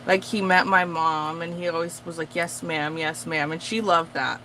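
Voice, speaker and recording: A woman's voice: sits low, steady tone, normal speed, sharp tone, a little hiss or hum. A young woman whose first language is Portuguese, talking casually and rambling with lots of fillers while she crafts. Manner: chatty, rambling with many fillers. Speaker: craft vlogger. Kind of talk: casual chat.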